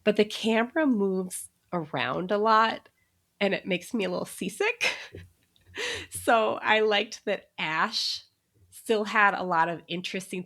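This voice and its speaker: A woman's voice: mid-range voice, gravelly, a bit bright. Chatty woman podcast co-host. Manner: chatty, laughs often between remarks. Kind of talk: casual chat.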